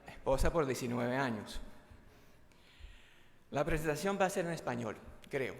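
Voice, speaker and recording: A man's voice: medium pitch, lively intonation, moderate pace. Courteous American man opening a talk. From United States. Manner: polite, courteous, self-deprecating about his Spanish. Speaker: military conference speaker. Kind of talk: formal presentation. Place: large conference hall. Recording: long hall reverb.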